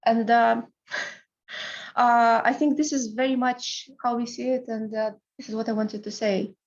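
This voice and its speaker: A woman's voice: on the high side, little pitch movement, measured pace. A woman, a panelist speaking lightly on an online panel, heard through muffled video call audio. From Russia.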